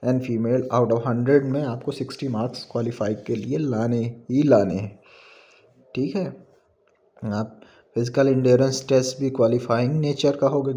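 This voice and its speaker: A man with an Indian accent giving an informal explainer, reading out an official notice haltingly and commenting on it as he switches between Hindi and English. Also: mid-range voice, dark tone, dull audio. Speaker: exam information presenter.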